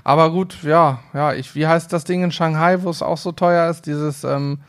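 A man's voice: a little high, steady tone, moderate pace, smooth. Chatty man co-hosting a podcast. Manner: chatty, easygoing, lots of fillers. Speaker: podcast co-host. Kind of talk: casual podcast chat.

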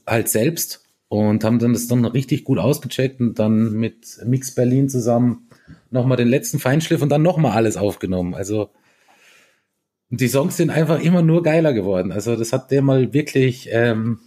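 A man from East Tyrol, a band musician, talking casually in an interview, relaxed and chatty with many fillers and restarts. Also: medium pitch, moderate pace. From East Tyrol, Austria.

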